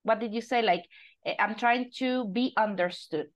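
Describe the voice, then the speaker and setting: A woman's voice: fairly high pitch, moderate pace. Spanish-accented female host, encouraging, interview tone. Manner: encouraging, asks questions. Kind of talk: casual interview.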